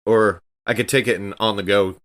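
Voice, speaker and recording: Low-voiced man playing a tabletop game. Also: fairly even intonation, fairly quick, a bit bright. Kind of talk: casual game chat.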